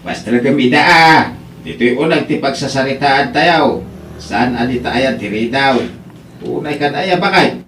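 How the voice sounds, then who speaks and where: A man's voice: mid-range voice, normal speed, fairly bright tone, a little hiss or hum, natural voice. A man playing a blunt, argumentative older uncle in an Ilocano audio drama, with a Filipino accent. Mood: inquiring. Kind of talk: radio drama acting.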